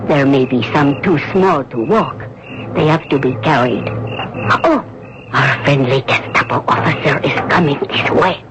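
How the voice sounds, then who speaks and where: A woman's voice: low voice, unhurried. Earnest Resistance woman, noisy radio recording. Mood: tense, watchful. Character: earnest French Resistance woman rescuing hidden children. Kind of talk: 1980s radio drama acting. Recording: dull, very noisy old radio broadcast.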